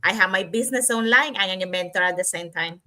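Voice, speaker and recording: Bright-voiced woman panelist from Cuba. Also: medium pitch, fast talker. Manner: rambling, restarts, filler-heavy. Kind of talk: casual chat.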